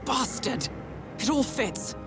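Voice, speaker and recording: A woman voicing a shrewd, composed intelligence handler in a video game, speaking in a measured, formal, precise way. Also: high-pitched, animated, exaggerated voice. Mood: alarmed. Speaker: handler giving mission briefings. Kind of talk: scripted video game voice acting, briefing narration. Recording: loud music behind the voice. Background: music.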